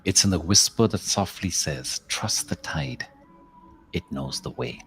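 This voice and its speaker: Very deep, slow-paced man guiding meditation. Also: expressive, faint music behind, rough recording. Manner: measured, contemplative, with long pauses and softly trailing phrases. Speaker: guided meditation host. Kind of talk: slow guided meditation, spoken-word reflection with verse.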